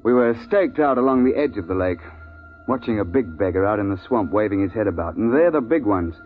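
An actor playing a seasoned hunting guide, firm and wry, in a 1950s radio drama. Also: male voice, fairly low pitch, animated, fairly quick, narrow, tinny audio, soft background music, close to an everyday voice. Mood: wry storytelling. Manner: colloquial, authoritative storyteller. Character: seasoned male hunting guide telling his safari tales, firm, wry. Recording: band-limited 1950s radio recording, faint noise.

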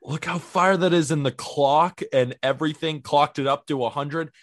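A man co-hosting a baseball podcast, talking in an upbeat, energetic, positive way. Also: a little high, normal speed, no room sound, studio quality. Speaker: podcast co-host. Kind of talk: casual sports podcast chat.

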